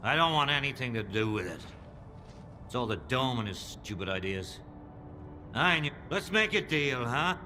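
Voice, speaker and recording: A man's voice: mid-range voice, sing-song, normal speed. An actor playing a nervous, bargaining gang crook in a video game, talkative and eager to save his skin. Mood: pleading. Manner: pleading, talkative. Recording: loud music behind the voice.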